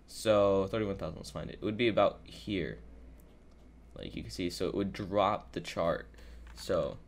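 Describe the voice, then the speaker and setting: A man with a fairly low voice talks through an on-screen tutorial in a casual, unscripted way. He sounds a little sick and rambles as he thinks out loud at his computer. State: sick with a cold. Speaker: YouTuber giving a trading tutorial. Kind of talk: casual improvised screen-capture commentary. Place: indoors at a computer, voice-over.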